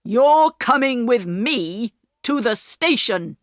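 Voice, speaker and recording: A stern middle-aged station master who scolds slowly and firmly, as a woman reader puts him on. His words are drawn out, and the recording is close and dry. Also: on the high side, lively intonation, no echo, voice pushed up. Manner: slow, firm and scolding.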